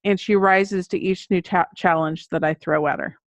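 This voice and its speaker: An American woman with a fairly low voice talks earnestly as an interview guest, explaining her work in a conversational way. The sound is dry and somewhat dull, as on a lo-fi podcast recording. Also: steady tone, normal speed, no echo. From United States. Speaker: guest, children's book author. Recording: muffled, dull lo-fi audio.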